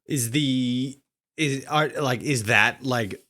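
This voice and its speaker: Halting male podcast host, mid-pitched. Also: sharp tone. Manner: halting, hesitant, circling phrasing full of repeats. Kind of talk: casual interview chat.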